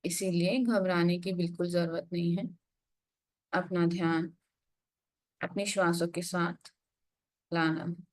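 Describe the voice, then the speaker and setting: A woman leading a guided meditation, giving instructions in an unhurried way with frequent pauses. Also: on the low side, steady tone.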